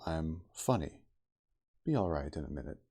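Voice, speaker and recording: Man playing a low-voiced, faltering, weary gentleman. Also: lively intonation, breathy edge, full character voice. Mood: weary, reassuring. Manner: halting, faltering speech. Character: weary male time traveler, faltering, hungry, polite.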